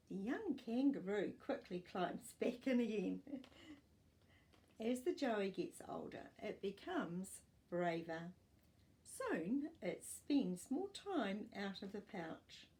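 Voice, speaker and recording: An older woman reading a children's non-fiction book aloud as a storytime host, with expressive, deliberate delivery for young children. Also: mid-range voice. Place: small furnished living room, indoors.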